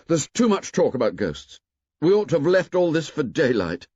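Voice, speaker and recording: A man's voice: a little high, sing-song, moderate pace, darkish tone, slightly rough, no room sound, pitched above the performer's own voice. A man doing urgent boy leader Ralph. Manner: urgent, insistent, trying to keep order. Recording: dull lo-fi dry audiobook.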